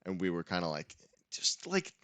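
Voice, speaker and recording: A laid-back man with a fairly low voice chats loosely about tabletop games, laughing often. The sound is dry and close, with no room around it. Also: big pitch swings, normal speed, pushed and bright, dry recording. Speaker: podcast host. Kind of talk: casual chat.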